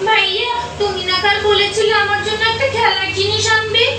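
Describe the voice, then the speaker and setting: A woman's voice: very high voice, little pitch movement, a little fast. A woman voicing a clingy little girl in a puppet show, childlike and plaintive. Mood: pleading. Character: clingy, playful little sister. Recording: very echoey, distant, faint music.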